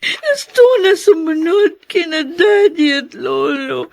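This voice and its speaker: A woman's voice: extremely high pitch, animated, takes it slowly, gravelly, no echo, studio quality, full character voice. An actress playing a devastated but defiant young woman, speaking in a tearful, emphatic radio melodrama manner. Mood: despairing. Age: young adult. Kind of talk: melodramatic radio drama acting.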